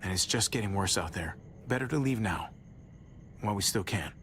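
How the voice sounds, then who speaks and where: A man playing a steady, caring survivor of a zombie apocalypse in a video game, speaking calmly and sincerely. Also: on the low side, fairly quick, a little hiss or hum. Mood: gently firm. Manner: calm, reassuring, sincere. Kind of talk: naturalistic dramatic video game voice acting.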